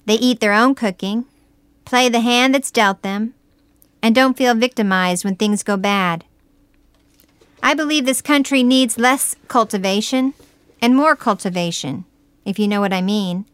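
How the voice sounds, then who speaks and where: A woman with a smooth, clear and fairly high voice reads a scripted reflective essay. Her delivery is measured and plain-spoken, with a folksy turn, and the sound is dry and close. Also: no room sound.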